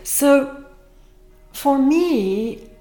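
A woman speaking as a therapist, slowly and reflectively guiding a conversation. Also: high-pitched, lively intonation, mellow, roomy sound, faint music behind. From Pakistan.

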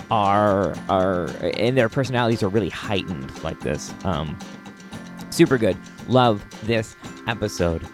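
An American man hosting a podcast solo, chatting informally and enthusiastically. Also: lowish voice. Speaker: podcast host. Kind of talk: casual solo podcast chat.

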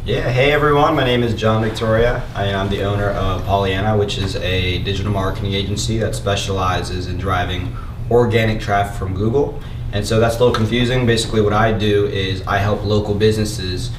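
A young man with a lowish voice speaks as a guest in a casual interview, relaxed and reflective, leaning on filler words. He is picked up from some distance in an echoey room with a faint hiss behind him. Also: brisk. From born in Japan to a US military family. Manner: relaxed, reflective, many "you know" fillers. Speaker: podcast guest, marketing agency owner. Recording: roomy, distant mic, faint noise.

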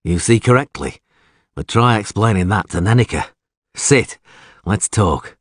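A man playing a low, husky, terse warrior. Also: measured pace, dry recording, clean studio sound. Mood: dry, friendly. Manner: terse, understated. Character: calm, dry-humoured male warrior, loyal friend.

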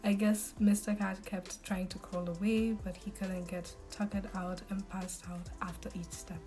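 A woman's voice: medium pitch, little pitch movement, normal speed, warm tone, soft background music. A young woman reading a dating story aloud on a podcast in a casual storytelling way, adding sympathetic asides.

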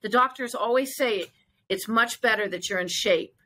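A woman's voice: fairly high pitch, normal speed, sharp tone. A woman speaking as a fitness trainer in a conversational interview, with an earnest, emphatic and encouraging manner. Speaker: fitness trainer and gym owner, interview guest.

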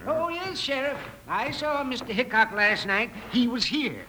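A mild, scatterbrained night watchman in a vintage radio western. His voice is high and swoops around busily. He is fussy and polite, forever dithering. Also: very animated, measured pace, pushed and bright, slight background noise, full character voice. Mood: earnest, helpful. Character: forgetful, fussy, mild-mannered night watchman who collects stuffed toads. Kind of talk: old-time radio western acting. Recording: vintage radio broadcast sound.

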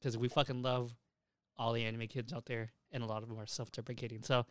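A man's voice: medium pitch, little pitch movement, fast, no room sound, studio quality. A young man co-hosting a casual anime news podcast, rambling along and swearing freely. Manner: profane, rambling. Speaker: podcast co-host.

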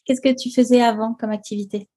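Woman host of an interview show: higher voice, soft mellow tone, polite questions. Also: steady tone, fast talker, breathy edge. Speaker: podcast host.

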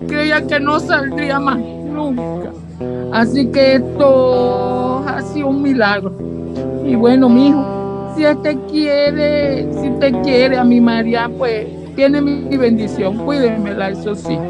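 Man playing a high-pitched, gruff Venezuelan bar owner. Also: fairly even intonation, slow. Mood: moved, weary. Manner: blunt, colloquial, emotional. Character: gruff, foul-mouthed bar owner, father just out of prison. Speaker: radio drama actor. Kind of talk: radio soap opera acting. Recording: prominent guitar music and crowd noise behind.

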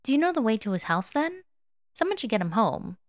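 A woman's voice: a little high, very animated, brisk, no room sound, studio quality, voice pushed up. A woman voicing a flustered young man who puts on a jokey, carefree casualness. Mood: breezy, covering nerves. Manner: breezy, jokey, forced casualness.

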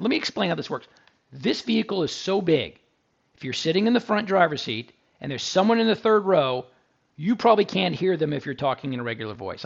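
Male podcast host, fairly high voice with a gravelly edge, chatty and enthusiastic, dull lo-fi sound. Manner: chatty and enthusiastic, with restarts and asides to listeners. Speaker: car review podcast host. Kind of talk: casual spoken car review.

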